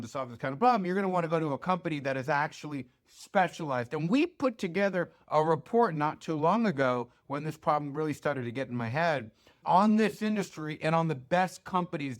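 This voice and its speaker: Male American financial host, slightly higher register, conversational and emphatic investment pitch. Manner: conversational, emphatic, rhetorical questions and check-ins. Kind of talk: informal investment pitch to camera.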